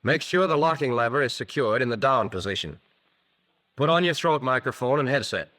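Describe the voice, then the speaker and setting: An American man narrating a scripted 1940s instructional film in a crisp, methodical manner, on a vintage film soundtrack. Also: mid-range voice, animated, a little fast, bright, forceful tone.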